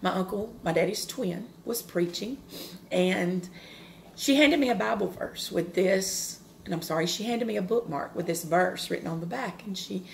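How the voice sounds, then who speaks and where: Woman, forties, loud carrying voice, earnest devotional speaker, slight vocal fry. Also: mid-range voice, a little hiss or hum. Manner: earnest and open, with pauses and restarts. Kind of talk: personal devotional talk.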